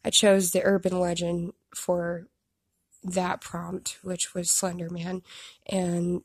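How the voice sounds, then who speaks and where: A young woman with a stuffed-up nose, casually talking through her drawings into a phone and poking gentle fun at her own work. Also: lowish voice, monotone, slow, husky, no echo. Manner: self-deprecating, rambling with restarts. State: stuffed up, congested. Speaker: artist showing her drawings. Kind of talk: casual narration of a drawing time-lapse. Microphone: phone camera.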